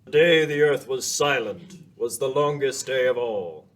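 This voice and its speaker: A man's voice: medium pitch, lively intonation, unhurried, fairly bright tone. A man giving scripted, dramatic narration as a narrator in a radio play. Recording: roomy, off-mic, faint noise.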